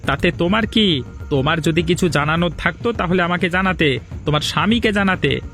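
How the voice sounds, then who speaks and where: An older village patriarch in a cartoon drama. His voice sits high for a man, and he orders, scolds and threatens like a schemer used to being obeyed. Faint music plays behind him. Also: brisk, fairly bright tone. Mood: angry, scolding.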